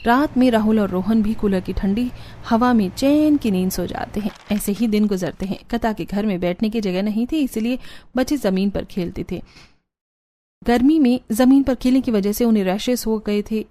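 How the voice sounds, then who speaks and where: A woman narrates a scripted cartoon moral tale. Her pitch is medium and her tone is slightly mellow. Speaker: story narrator.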